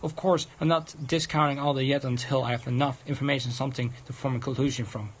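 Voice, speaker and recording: A man livestreaming a visual novel, reading its text aloud in a halting, stumbling way and adding off-script comments. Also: mid-range voice, steady tone, husky. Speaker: game livestreamer. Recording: music behind the voice.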